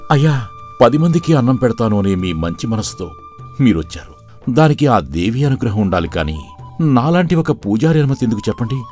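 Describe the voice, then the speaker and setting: A man's voice: medium pitch, very animated, a little fast, a little mellow, natural voice. A man voicing a humble, contented and devout poor temple priest in a cartoon story, pleading and reasoning respectfully. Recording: music under the voice.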